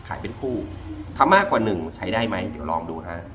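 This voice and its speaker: A man's voice: lowish voice, lively intonation, quick pace. A man giving a casual hands-on gadget review, informal and repeating himself as he demonstrates step by step. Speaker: product reviewer. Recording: clearly noisy ambient background.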